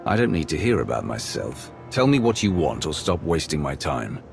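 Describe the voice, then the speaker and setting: A man playing a blunt, commanding dark lord in a sci-fi game, terse and imposing, with a gravelly voice. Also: very deep voice, sing-song, normal speed, heavily acted. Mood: curt, menacing. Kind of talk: scripted video game dialogue. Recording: music behind the voice.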